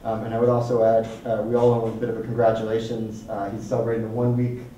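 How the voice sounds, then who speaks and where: Man, panel moderator, mellow voice, relaxed with fillers, echoey hall, distant mic. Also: fairly low pitch, fast, highs cut off, a little hiss or hum. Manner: relaxed, friendly, with frequent fillers. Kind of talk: conference panel introduction. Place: conference hall.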